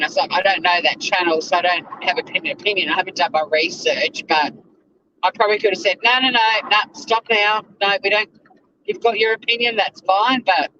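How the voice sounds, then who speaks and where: An Australian man hosting a casual livestream, chatty and animated, swearing freely, with a slightly whiny voice. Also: high-pitched, muffled recording, slight background noise. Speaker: livestream host. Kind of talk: casual livestream chat to viewers.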